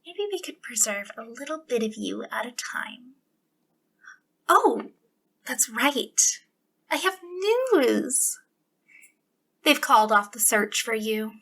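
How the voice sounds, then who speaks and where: A woman playing an obsessive, sweetly menacing female captor in an ASMR roleplay, speaking intimately in a breathy voice that slips into whispers. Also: a little high, lively intonation, a bit bright, heavily acted. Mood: mock-playful threatening. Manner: breathy delivery slipping into whispers, lingering pauses.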